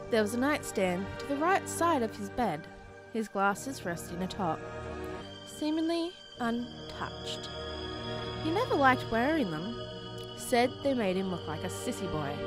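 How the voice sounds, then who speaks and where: A woman narrating a horror story in a dramatic, suspenseful manner, with deliberate pauses. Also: mid-range voice. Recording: loud music under the voice. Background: prominent background music.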